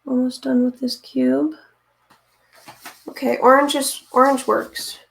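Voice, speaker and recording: A woman with a raspy, hoarse voice chats casually with viewers while she paints. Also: high-pitched, little pitch movement, slow pace, low-quality recording. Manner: chatty, talks to viewers while working, often unsure what to do next. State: hoarse from reading aloud. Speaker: streamer painting live.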